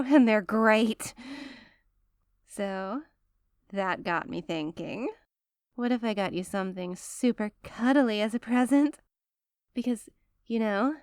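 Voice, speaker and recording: A rich, possessive young girlfriend, acted by a woman, in a dry, intimate ASMR roleplay. She dotes on her partner with theatrical sweetness, coaxing and gushing, with a needy edge of pleading. Her voice sits at an ordinary female pitch. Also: clean tone, no echo, natural voice.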